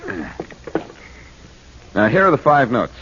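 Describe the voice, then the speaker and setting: An actor playing a confident, wisecracking amateur detective in a 1940s radio drama, speaking in a glib, theatrical way. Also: male voice, medium pitch, huge pitch swings, takes it slowly. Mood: dramatic. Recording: muffled, noisy 1940s radio recording.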